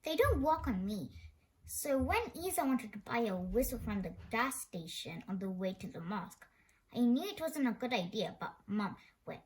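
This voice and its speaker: Expressive woman reading a children's story, lively pitch. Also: moderate pace. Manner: expressive, with stumbles over words. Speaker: storybook reader. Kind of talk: read-aloud of a children's story.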